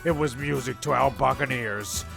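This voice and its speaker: A man's voice: medium pitch, normal speed, creaky, over music. A man narrating a comic story as host, mock-dramatic and rambling.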